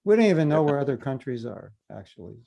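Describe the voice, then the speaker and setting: A man teaching over a video call, his voice low-toned and dark with a gravelly edge. He talks in an easy, joking way, teasing his listeners as he answers questions. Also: very animated, fast talker. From United States. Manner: humorous, teasing, easygoing. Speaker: spiritual teacher.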